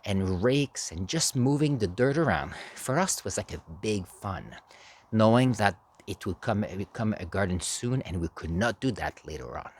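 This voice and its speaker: A man giving a storytelling speech as an amateur speaker, halting, with dramatic pauses and emphasis. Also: on the low side, expressive, slightly rough. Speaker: amateur public speaker practicing a contest speech. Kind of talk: animated storytelling speech, tall tale.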